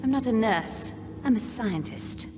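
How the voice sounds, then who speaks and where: A cool, precise female doctor and scientist in a game. Her voice is fairly high and matter-of-fact, with long reverb and clear background noise. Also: animated, unhurried. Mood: firm, cool. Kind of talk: video game voice acting. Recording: noisy game audio with long hall reverb.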